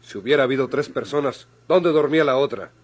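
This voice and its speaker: A Mexican actor playing a commanding, suspicious lawyer with an authoritative, deliberate manner, in old radio drama style. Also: male voice, medium pitch, close to an everyday voice. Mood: stern, reasoning. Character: stern male lawyer, commanding and suspicious interrogator.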